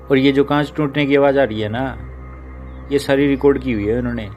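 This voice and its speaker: A man acts as a self-assured husband in a children's story drama. He is boastful and reassuring in a mid-pitched voice, with music behind him. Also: fairly even intonation, brisk, a little mellow, muffled recording, close to an everyday voice. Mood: confident. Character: confident, boastful husband debunking a haunting.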